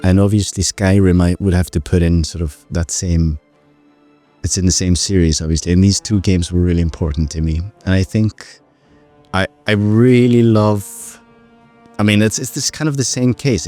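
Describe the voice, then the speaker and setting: A man's voice: sits low, normal speed, mellow, soft background music. A man in his twenties with an Icelandic accent, talking casually as an interview guest in a loose, enthusiastic, self-correcting way. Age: 20s. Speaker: podcast guest, composer and pianist.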